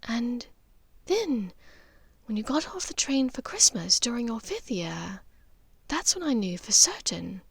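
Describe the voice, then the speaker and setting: A woman voicing a gentle, knowing aristocratic witch mother in an audiobook, speaking softly and wistfully with small hesitations. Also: on the high side, very animated, a little slow, a crowd in the background, exaggerated voice. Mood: earnest, soft. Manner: soft, hesitant, wistful, breathy at times.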